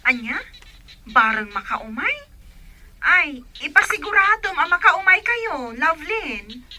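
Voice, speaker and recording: An actress playing a brash, nagging elder sister in a radio drama, pushy and insistent in manner. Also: female voice, high voice, sing-song, takes it slowly, bright, forceful tone, a little hiss or hum, exaggerated voice.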